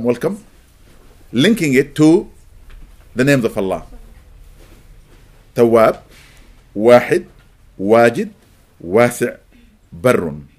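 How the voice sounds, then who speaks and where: Arabic-native man giving an animated lecture. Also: mid-range voice. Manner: animated, rising to emphatic peaks, welcomes latecomers mid-talk. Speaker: religious teacher. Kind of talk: lecture to a live audience.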